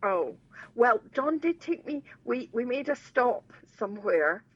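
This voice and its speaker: A woman tells road-trip stories with animated, self-mocking humour. Her pitch swings widely and her voice is a bit husky. The recording sounds dull and lo-fi. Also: unhurried, dry recording. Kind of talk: casual chat.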